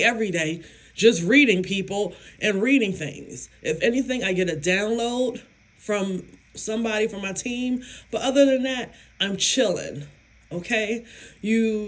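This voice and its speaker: A woman vlogger and psychic medium talking casually to her viewers, with a hoarse, raspy voice and an emphatic, self-assured way of speaking. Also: medium pitch. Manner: slow, emphatic, self-affirming, laughs often. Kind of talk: casual talk to camera.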